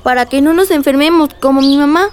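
A woman's voice: sits high, brisk, slight background noise, close to an everyday voice. A woman playing a curious, enthusiastic young girl in a radio drama, asking eager questions. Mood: earnest. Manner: inquisitive, enthusiastic.

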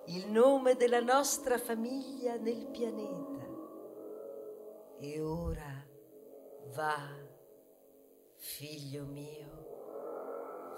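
Woman acting a very slow, solemn bioplastic bag. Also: fairly low pitch. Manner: solemn, grandiose, slow and deliberate. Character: proud male bioplastic bag with a solemn mission. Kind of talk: theatrical stage monologue. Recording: music behind the voice.

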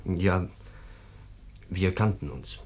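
A man's voice: low-pitched, extremely slow, slight background noise. An actor in a German radio drama playing an evasive, self-pitying policeman with a clipped, defensive delivery. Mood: defensive, cold. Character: evasive, self-pitying policeman who jilted his bride.

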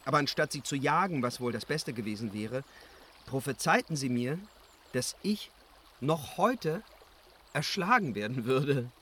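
A man's voice: mid-range voice, expressive, unhurried, sharp tone, slight background noise. An actor playing a proud, guileless young dragon-slaying hero telling of his deeds in a fantasy radio play. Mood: open, untroubled. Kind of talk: radio drama storytelling.

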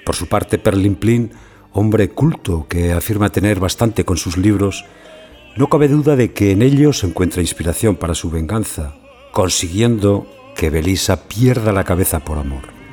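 A low-pitched man delivers scripted narration as the presenter of a theatre piece, in the style of literary commentary. Faint music plays behind his voice. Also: expressive, moderate pace, warm tone. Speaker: narrator introducing the play.

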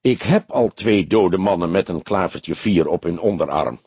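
A man reading an audiobook, playing a patient, wry old police detective who questions in a measured, probing, dryly mocking way. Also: low-pitched, a little mellow, slightly rough, no echo, pitched below the performer's own voice. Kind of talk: audiobook character dialogue.

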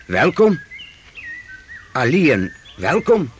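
A man playing a calm, wise master who guides his young companions in a radio play, explaining things in a measured, reassuring way. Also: a little high, a little hiss or hum, heavily acted. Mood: alert, puzzled.

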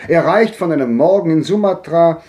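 A man's voice: a little high, moderate pace, darkish tone, close to an everyday voice. An actor playing a cultured, world-weary old man who speaks in composed, rhetorical monologue in a 1950s radio drama. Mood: reflective, declamatory. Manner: declamatory, rhetorical phrasing.

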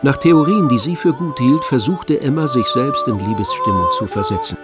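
A man narrating a literary radio play in a measured, composed manner. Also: mid-range voice, wildly swooping pitch, over loud music.

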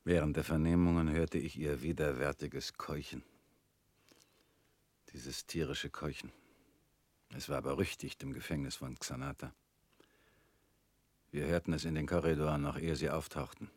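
An actor in a radio play voicing a weary former prisoner, measured and subdued. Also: male voice, a bass voice, steady tone, darkish tone, no echo. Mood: haunted recollection. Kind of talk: radio drama acting.

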